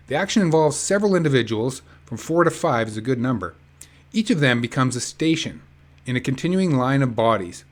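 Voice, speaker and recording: A man reading a book aloud in an informal, chatty way with casual asides. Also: medium pitch. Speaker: reader of an activist book.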